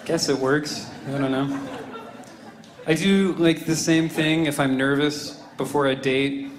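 A man's voice: a little high, fairly even intonation, measured pace, echoey room. A man in his twenties performing a self-deprecating stand-up set on a club stage with a handheld mic, audience laughter between lines. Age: 20s. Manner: dry, self-deprecating, with lots of "you know" and "I don't know". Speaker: stand-up comedian. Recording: live club recording with audible crowd noise.

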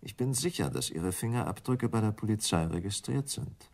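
An actor playing a cool, calculating husband and plotter in a radio crime drama, speaking in a controlled, commanding way. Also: male voice, on the low side, animated, normal speed, vocal fry, a little mellow.

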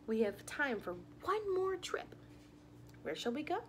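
A young woman reads a picture book aloud for small children. Her voice is fairly high and swings animatedly up and down, while she takes her time over the words. She talks straight to her young listeners in an expressive storytime manner, recorded in a living room. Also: unhurried, a little hiss or hum. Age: young adult.

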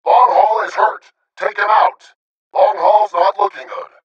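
A man's voice: high voice, steady tone, a little slow, reverberant, raised voice, far from the mic, dull audio. A man voicing a disciplined, combative robot sniper soldier in a video game, giving clipped military callouts in an urgent, commanding manner. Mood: aggressive.

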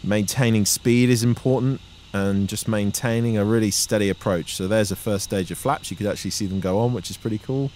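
A man in his thirties giving measured, instructional vlog narration as a student pilot. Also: fairly low pitch. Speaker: student microlight pilot, vlogger. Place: small microlight cockpit in flight. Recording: steady engine and cockpit noise.

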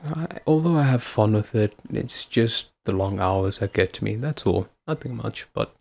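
A man playing a devoted, affectionate husband in an intimate audio roleplay, with a husky voice. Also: lowish voice, normal speed, darkish tone. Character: devoted, affectionate husband, playful then anxiously caring. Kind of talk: intimate ASMR boyfriend-style roleplay acting.

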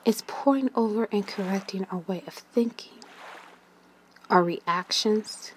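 A woman guides a meditation very slowly, in a mid-pitched voice. Her delivery is gentle and soothing, leaving long pauses and letting phrases trail off into breath. Faint ambient noise sits behind her.

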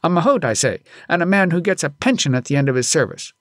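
Man playing a scolding father, pitched high. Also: lively intonation, fast talker, no room sound, studio quality, close to an everyday voice. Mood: indignant scolding. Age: middle-aged. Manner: indignant, archaic thee-and-thou speech. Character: scolding, grumbling older Indian elephant-driver father, proud. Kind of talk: audiobook character voice.

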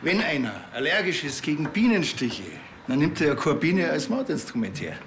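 An actor playing a blunt, unflappable Bavarian policeman in a comedy series, deadpan and laconic with a Bavarian accent. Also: on the high side, moderate pace, slight background noise. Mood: matter-of-fact. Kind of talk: naturalistic comedic screen acting.

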